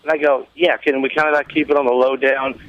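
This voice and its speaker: A man's voice: medium pitch, little pitch movement, quick pace, no echo, lo-fi sound. A man telling a casual comic anecdote on talk radio, rambling along and acting out the dialogue as he goes. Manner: rambling storyteller, acts out quoted dialogue. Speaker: show guest telling a story.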